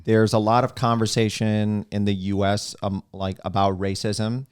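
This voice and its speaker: A man hosting a podcast, introducing a guest and asking questions in a measured, deliberate way. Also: lowish voice, little pitch movement, clean tone, dry recording, clean studio sound. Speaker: podcast host.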